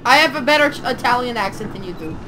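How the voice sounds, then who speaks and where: High-voiced excitable woman gaming. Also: quick pace, crowd noise. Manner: excitable, swears at the game, joking. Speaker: gameplay commentator. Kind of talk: casual gameplay chat. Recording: noisy game audio behind.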